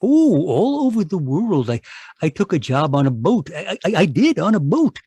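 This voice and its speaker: A man's voice: sits high, very animated, normal speed, warm tone, breathy edge, no room sound, heavily acted. An actor playing a timid, apologetic, world-weary man of about fifty in a radio mystery, hesitant and stammering. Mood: rueful. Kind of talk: radio mystery drama acting. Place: live remote performance over video call.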